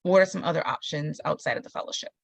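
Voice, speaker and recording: A woman moderates a career webinar and reads audience questions in an orderly way. Her voice has some vocal fry and sounds dry and close to the mic. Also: low-pitched, fast talker, dry recording. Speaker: host and moderator.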